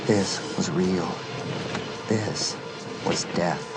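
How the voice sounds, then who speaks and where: A man's voice: deep voice, very slow. A man narrating a dramatised horror audiobook as a scripted story. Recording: loud music and sound under the voice. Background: loud music.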